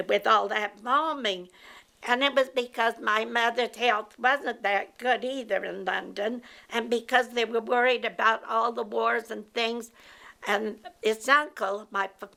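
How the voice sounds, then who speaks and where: A woman in her nineties from Belgium, reminiscing about her life in a slow, steady interview. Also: on the high side, gravelly. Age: 90s. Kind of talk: personal life story told in an interview.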